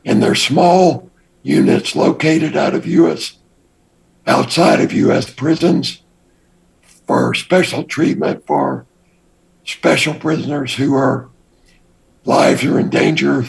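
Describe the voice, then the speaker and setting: An older man with a raspy voice, a guest telling his past story in a measured, deliberate way. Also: on the high side, rough recording. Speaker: interview guest. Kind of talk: recounting his past in an interview.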